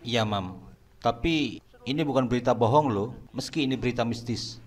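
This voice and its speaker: A man voicing an eager young male assistant who asks quick questions. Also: mid-range voice, close to an everyday voice.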